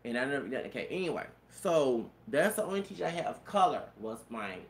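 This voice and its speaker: Gravelly, feminine-sounding man in an echoey room. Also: mid-range voice, sing-song, brisk. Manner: repetitive stammered restarts, profanity, frequent "you know what I'm saying". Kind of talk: rambling personal storytelling rant. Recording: roomy echo, faint background noise.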